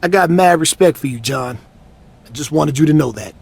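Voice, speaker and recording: An actor playing a proud, straight-talking male rival wrestler in a video game, with a raspy voice and an intense manner. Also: on the high side, lively intonation, normal speed, full character voice. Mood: respectful. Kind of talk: scripted video game cutscene acting.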